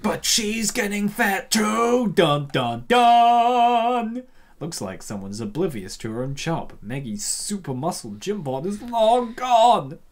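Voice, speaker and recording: A man's voice: high voice, sing-song, slow. A man hosting a casual comic reading with playful commentary and theatrical exclamations. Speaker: host.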